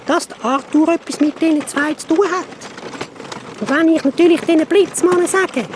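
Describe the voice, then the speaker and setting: A woman's voice: sits high, moderate pace, a little mellow, a little hiss or hum, natural voice. A woman with a Swiss German accent voicing a blunt male cart-puller creature in a radio play. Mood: matter-of-fact.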